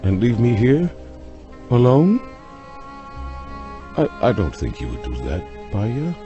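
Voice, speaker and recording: An actor playing an obsessed, grim vampire hunter doctor in a horror-comedy radio drama, speaking with dramatic urgency. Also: male voice, medium pitch, wildly swooping pitch, slow, lo-fi sound, over music. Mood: urgent, persuasive.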